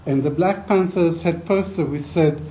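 An older man giving a reflective academic talk, speaking in a measured, deliberate way with hesitant pauses. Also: on the high side, dark tone, rough recording.

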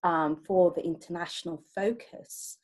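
A woman's voice: on the low side, fairly even intonation, a little slow. A woman in casual podcast conversation, talking with many fillers and frequent small laughs. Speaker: project co-lead.

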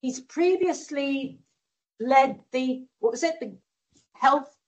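Woman, high voice, host, halting, searching for words. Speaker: host introducing the speaker. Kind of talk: event introduction.

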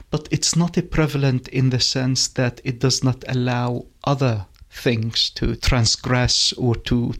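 Guy, poet, talks really slow and halting, gravelly, keeps repeating words. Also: mid-range voice, fairly bright tone. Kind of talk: reflective interview answers.

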